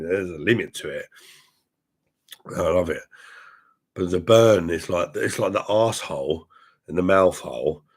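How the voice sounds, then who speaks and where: Deep-voiced, hoarse man rambling. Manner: rambling, crude jokes, swearing. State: hoarse, unwell. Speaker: livestream host reading chat. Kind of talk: casual crude drinking chat.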